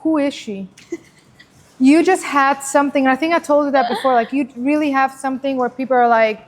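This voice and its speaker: A woman hosting a casual, chatty interview in an animated, informal, expressive way. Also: high voice, fairly quick, long reverb tail. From Switzerland, originally from Iran. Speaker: podcast host interviewing a guest.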